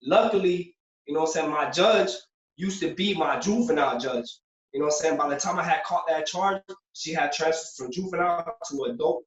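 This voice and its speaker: A young man from Puerto Rico talks fast and loose, slightly high-voiced, full of street slang and filler. He sounds set back from the mic in an echoey room, with a dull, lo-fi recording. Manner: streetwise, loose, fills with "you know what I'm saying". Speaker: guest, former prisoner. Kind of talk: casual interview storytelling. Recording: roomy, distant, dull lo-fi sound.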